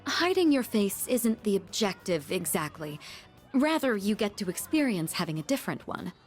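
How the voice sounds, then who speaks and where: A refined young woman from a video game, acted by a woman. She guides patiently in articulate, gently formal phrases, her mid-range pitch moving expressively over faint music. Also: very animated, moderate pace, lightly acted. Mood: patient, composed.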